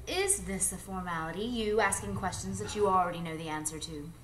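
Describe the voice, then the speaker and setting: A woman playing an alluring, ageless, mysterious adventuress in a live radio drama, speaking in a measured, coy, theatrical way. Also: on the low side, lively intonation, a bit bright. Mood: coy, teasing. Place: live performance space before an audience. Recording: noisy live stage recording.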